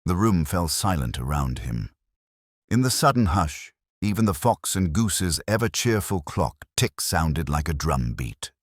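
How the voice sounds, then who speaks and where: A man's voice: sits low, sing-song, measured pace, dry recording, studio quality. A man narrating a scripted period mystery story in a literary, dramatic style.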